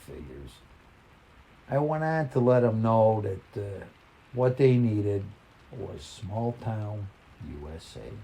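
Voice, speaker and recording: A man reading a folksy first-person story in a halting, conversational way. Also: on the low side, sing-song, gravelly, mellow, slightly rough.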